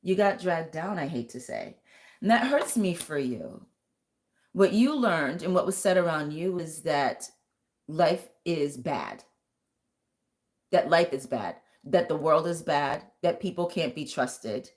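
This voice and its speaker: A woman with a thick, lower voice giving a live tarot reading and talking straight to her viewers with earnest, intuitive warmth. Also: lively intonation, slow pace. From United States. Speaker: tarot reader and vocal coach.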